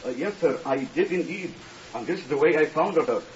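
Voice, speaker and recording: An actor playing a deferential, grief-stricken college servant, halting and forever polite, in 1950s British radio drama style. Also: male voice, fairly high pitch, expressive, darkish tone, recorded from a distance, close to an everyday voice. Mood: shaken, deferential. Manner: halting, deferential, repeatedly saying sir. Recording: muffled, noisy, crackly old radio recording.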